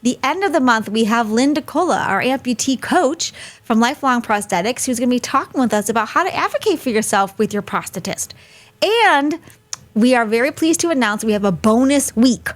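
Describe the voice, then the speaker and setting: A woman's voice: fairly high pitch, lively intonation, a little fast. A woman hosting a live webinar over a video call, chatty and friendly, laughing easily. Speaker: host.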